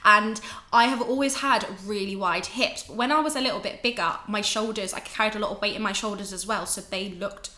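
A woman's voice: medium pitch, fairly quick, pushed and bright, reverberant. A woman in her twenties with an English accent, a podcast guest talking candidly and casually, swearing now and then. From England, raised in Ireland. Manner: chatty, candid, swears, laughs often.

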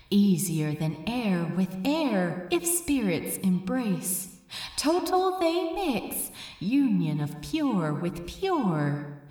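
Woman narrating an audiobook, really dramatic and expressive, takes her time, close dry sound. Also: on the high side, breathy edge, no echo. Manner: measured, deliberate, dramatic phrasing with pauses. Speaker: fan fiction reader, narrator.

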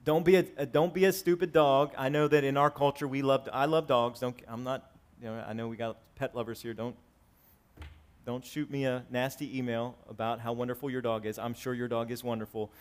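A man in his forties preaching a sermon to his congregation, swinging between raised, emphatic lines and quiet, conversational asides. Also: medium pitch, steady tone, fairly quick. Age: 40s. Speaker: pastor. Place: church sanctuary with congregation.

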